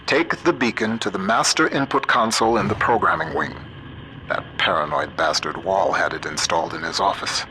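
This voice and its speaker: An actor playing a shrewd, principled American computer scientist in a video game, middle-aged, wry, measured and plain-spoken. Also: male voice, low voice, noisy background, close to an everyday voice. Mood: exasperated. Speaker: guide character. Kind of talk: video game voice acting, audio diaries and radio guidance.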